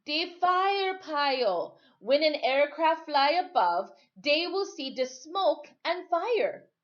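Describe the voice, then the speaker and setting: A woman voicing a calm, patient older island sailor man in a storybook reading, with drawn-out, folksy phrasing. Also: high voice, dull audio, pitched above the performer's own voice, exaggerated voice. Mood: hopeful. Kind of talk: storybook read-aloud.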